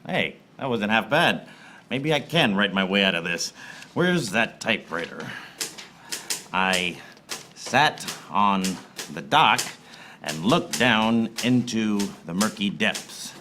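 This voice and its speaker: An actor playing a wry, bumbling private eye in a noir comedy radio serial, deadpan and given to tongue-twisting alliteration. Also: male voice, on the low side, takes it slowly, background noise. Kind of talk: film noir comedy radio serial acting, narrating and in dialogue. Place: theater stage, performed live. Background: music.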